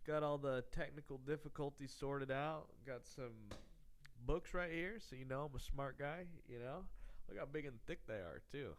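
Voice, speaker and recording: A man's voice: mid-range voice, normal speed, slight background noise. A man hosting his podcast in a rambling, profane, sarcastic monologue, his voice nasal and congested from illness. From Texas. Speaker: podcast host. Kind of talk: casual comedic monologue.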